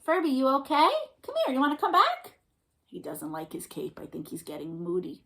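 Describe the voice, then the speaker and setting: Animated, higher-voiced woman leading children's storytime. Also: brisk. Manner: encouraging, talks to children with questions and praise. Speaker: children's storytime host and librarian. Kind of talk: read-aloud storytime for young children, chatty and sing-song.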